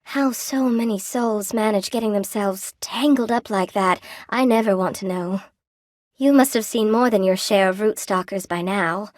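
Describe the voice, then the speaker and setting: An actress voicing a friendly, lonely weaver spider woman in a video game, with a gentle, quaint, expressive and chatty manner. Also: medium pitch, moderate pace, dry recording, studio quality, lightly acted. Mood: chatty, wry. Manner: gentle, quaint, folksy, expressive, self-effacing. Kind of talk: video game character acting.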